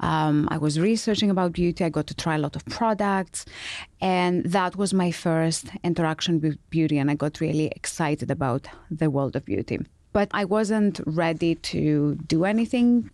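A woman's voice: low-pitched, darkish tone, no room sound, studio quality. A middle-aged woman from Greece, telling her story as an interview guest, with many ums and restarts. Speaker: guest, founder of a beauty brand.

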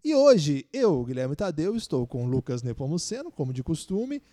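A man's voice: a little high, big pitch swings, normal speed, dark tone. A man with a Brazilian accent hosting a casual sports podcast. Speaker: podcast host.

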